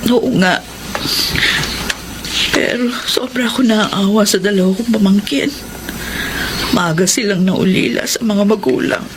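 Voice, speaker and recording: An actress playing a caring, sorrowful middle-aged aunt with a gentle manner in a radio drama. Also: female voice, mid-range voice, measured pace, pauses often, background noise, close to an everyday voice. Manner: gentle, consoling.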